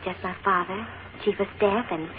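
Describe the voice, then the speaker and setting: An actress playing a gentle, sincere young woman in a 1940s radio western, speaking with dramatic pauses. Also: mid-range voice, steady tone, close to an everyday voice. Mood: tender, earnest. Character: gentle, earnest young woman, a colonel's daughter. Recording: tinny 1940s radio broadcast, faint noise.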